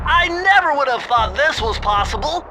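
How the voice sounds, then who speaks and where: Squeaky-high genius turtle, precise and eager. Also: male voice, expressive, normal speed, sharp tone, a little hiss or hum, exaggerated voice. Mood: excited. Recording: game soundtrack music behind.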